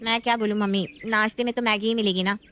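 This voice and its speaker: A woman's voice: medium pitch, fairly even intonation, fast, fairly bright tone, clean tone, close to an everyday voice. A woman voicing a spoiled, scheming young married daughter in a family story, whiny and demanding. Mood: sly.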